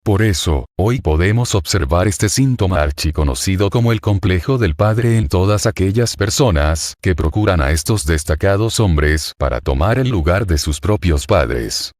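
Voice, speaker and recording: A man from Argentina with an Argentine accent, a psychoanalyst reading a scripted self-interview in a solemn, deliberate, self-assured way. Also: sits low, animated, darkish tone, dry recording, clean studio sound. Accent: Argentine Spanish.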